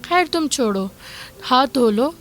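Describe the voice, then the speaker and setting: A woman plays a careworn wife and mother in a radio drama, her voice pitched high. She is a poor housemaid, caring yet plaintive and gently scolding, with faint music underneath. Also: expressive, measured pace.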